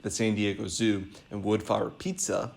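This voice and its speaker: A young man narrates a news segment in the manner of a student reporter. His voice has plenty of vocal fry, at an ordinary pitch for a man. The delivery is scripted. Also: little pitch movement, normal speed. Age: young adult.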